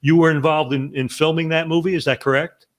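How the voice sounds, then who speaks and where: A man's voice: a little high, steady tone, fairly quick. A man hosting an interview, asking long, earnest questions full of restarts. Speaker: podcast host.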